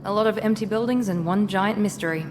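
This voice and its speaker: A woman's voice: medium pitch, quick pace, natural voice. An actress voicing a guarded female Cerberus operative in a video game, speaking in a curt, aloof manner. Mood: cool. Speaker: game character. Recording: game audio with long reverb and clear hiss.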